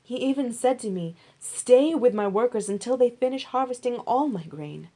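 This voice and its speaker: A woman's voice: fairly high pitch, animated, normal speed, dark tone, voice pushed up. A woman voicing a humble, grateful young widow in a Bible story, deferential and earnest.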